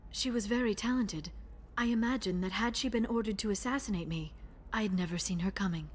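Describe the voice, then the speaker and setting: A woman's voice: mid-range voice, fairly quick, natural voice. Woman playing a guarded, driven alien woman, noisy background. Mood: cool, determined. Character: guarded, driven young alien woman, information broker seeking revenge. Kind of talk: cinematic video game voice acting.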